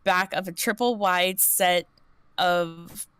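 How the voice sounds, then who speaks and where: A woman reads an interactive story aloud on a live stream. She has a smooth, clean voice, recorded dry and close. She stumbles now and then and restarts the word she misread. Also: lowish voice, steady tone, slow pace, fairly bright tone, dry recording.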